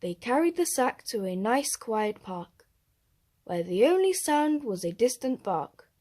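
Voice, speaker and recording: A woman with a fairly high voice reads a children's picture book aloud. She is expressive and deliberate, leaning on the rhymes as a storyteller.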